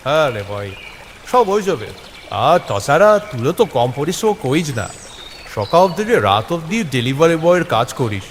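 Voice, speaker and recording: A man's voice: on the high side, big pitch swings, normal speed. A man playing a supportive friend in an audio story, casual yet concerned and cautioning. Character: supportive, cautioning male friend of a young worker. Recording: noisy recording with long hall reverb.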